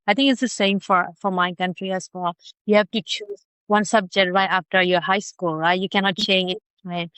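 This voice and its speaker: A woman's voice: mid-range voice, a bit bright, clean tone, dry recording, low-quality recording. A woman hosting a podcast who reads a scripted intro and then asks her guest plain, friendly questions. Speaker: podcast host. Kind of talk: interviewing a guest.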